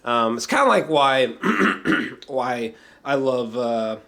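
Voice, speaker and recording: A man giving casual, unscripted film commentary as a reviewer, rambling with repeated words and throat clearing, in a slangy, profane and emphatic way. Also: mid-range voice, big pitch swings, slow pace, sharp tone.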